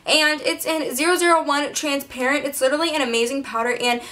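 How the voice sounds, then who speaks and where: A teenage girl chatting her way through a makeup tutorial, full of fillers and self-mocking asides, her voice a little hoarse. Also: sits high, steady tone, quick pace, bright, forceful tone. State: slightly hoarse, losing her voice. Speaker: beauty vlogger. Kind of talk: casual makeup tutorial chat.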